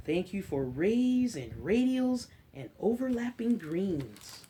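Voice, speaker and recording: A woman hosting a children's story time, reading a picture book aloud in an expressive, drawn-out way with chatty, encouraging asides. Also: mid-range voice, a little hiss or hum.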